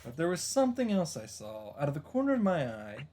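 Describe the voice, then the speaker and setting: A man's voice: on the high side, wildly swooping pitch, fairly quick, mellow, a little hiss or hum. A man reading visual novel narration aloud as a let's player, dropping in casual, wry asides. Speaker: video game let's player. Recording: music behind the voice.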